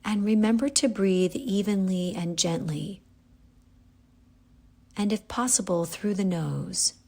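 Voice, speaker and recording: A woman guiding a yoga nidra relaxation, giving calm, gentle, unhurried instructions with pauses. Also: mid-range voice. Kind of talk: guided relaxation meditation.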